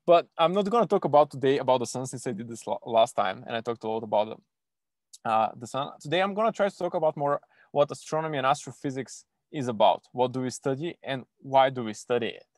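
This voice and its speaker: Young male science presenter from Bulgaria, halting and eager, speaking into a computer mic with a dry, close sound. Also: medium pitch, expressive, no echo. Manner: halting, many restarts and fillers, eager. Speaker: astrophysics PhD student giving an online talk. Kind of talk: informal online science lesson for kids.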